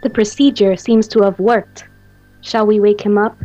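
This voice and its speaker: A woman's voice: mid-range voice, steady tone, moderate pace, dark tone, natural voice, poor audio. A woman playing a commanding, composed mad scientist mistress in an amateur radio play. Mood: clinical. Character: commanding female mad scientist, devoted to undead lover. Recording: faint music behind the voice.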